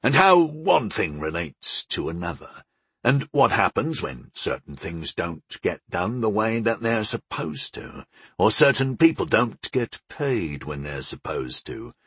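A man voicing a portly, proud older baker father in an audiobook, gruff and resolute, explaining things without hurry. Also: deep voice, big pitch swings, a bit bright, no echo, exaggerated voice. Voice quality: gruff, grumbling. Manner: unhurried, explaining, homespun wisdom. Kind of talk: audiobook character dialogue.

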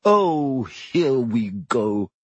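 A man voicing a proud, courtly gentleman ghost in a storybook, genteel, self-important and a little plaintive. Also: a little high, drawn-out words, no room sound, dull audio, pitched above the performer's own voice. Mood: bitter.

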